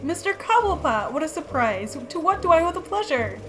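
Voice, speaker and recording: A woman's voice: high voice, very animated, a little fast, noisy background. A woman playing a polite, wry news reporter in a radio drama. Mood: polite, grateful.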